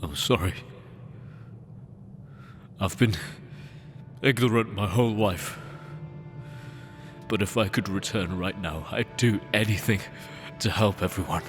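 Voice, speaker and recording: A man's voice: lowish voice, measured pace, long pauses, natural voice. An actor playing an anguished, remorseful male space explorer in an audio drama, with earnest, emotional delivery. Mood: remorseful, resolute. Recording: loud music under the voice.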